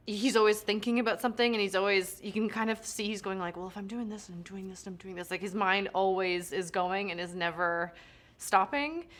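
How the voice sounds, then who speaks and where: A woman's voice: mid-range voice, fairly bright tone. A woman actor chatting casually in an interview, full of "um"s and "like"s. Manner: chatty, many fillers and likes.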